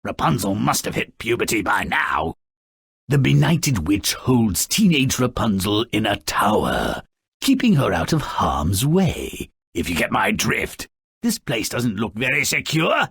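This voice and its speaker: An actor playing a small, malicious, sardonic storybook grouch in a video game, raspy and gravelly, narrating dark fairy tales with theatrical, sneering mockery. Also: male voice, on the low side, huge pitch swings, measured pace, no room sound, exaggerated voice. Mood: sardonic storytelling. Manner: theatrical, sneering, mocking, ornate vocabulary.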